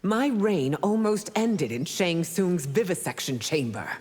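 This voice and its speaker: An actress playing a proud, regal ruler and warrior in a video game, formal, measured and dignified. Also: female voice, lowish voice, expressive, in a large hall, noisy background. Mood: grave. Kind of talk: video game character banter.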